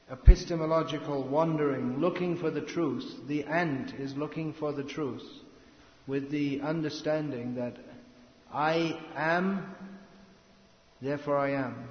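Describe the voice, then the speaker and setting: Slow, deliberate man in an echoey hall. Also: medium pitch. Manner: slow, halting, with pauses and restarts. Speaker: speaker giving a spiritual lecture. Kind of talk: slow philosophical lecture. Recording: echoey hall, lo-fi with faint noise.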